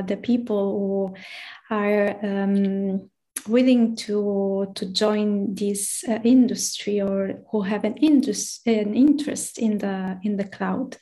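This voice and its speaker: A woman with an Italian accent presents at a tech meetup in a slow, unhurried delivery. She pauses often and restarts her sentences. Her voice has a soft, mellow tone. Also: medium pitch. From Italy. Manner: hesitant, many fillers and restarts. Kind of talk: presentation talk.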